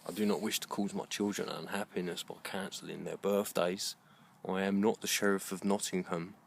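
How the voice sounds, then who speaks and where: A middle-aged British man giving a slow, reflective monologue in an earnest, deliberate way with halting phrasing. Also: deep voice, level tone, vocal fry, a little hiss or hum. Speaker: video blogger.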